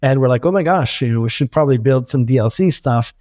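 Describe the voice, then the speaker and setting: A man's voice: mid-range voice, darkish tone, no echo, studio quality. A man in his thirties, born in Hungary and raised in the US, talking as a guest in a casual interview with lots of fillers and restarts. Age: 30s. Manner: quick, rambling, many fillers and restarts. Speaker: startup founder guest.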